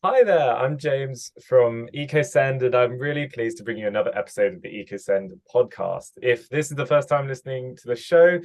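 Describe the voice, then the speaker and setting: A man's voice: medium pitch, darkish tone. A man hosting a podcast, speaking in a friendly, hesitant way with many restarts. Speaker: podcast host. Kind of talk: podcast hosting, casual interview.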